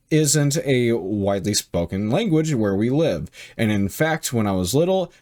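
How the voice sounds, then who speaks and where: A man's voice: medium pitch, darkish tone. A young man reading online stories aloud and reacting bluntly, with frequent swearing. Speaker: reaction video host.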